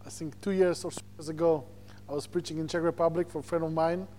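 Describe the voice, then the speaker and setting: A man's voice: on the high side, steady tone, mellow, slight background noise. A man from Slovakia with a Slovak accent preaches informally through personal stories, searching for words in his second language. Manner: halting, searching for words in non-native English. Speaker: visiting preacher.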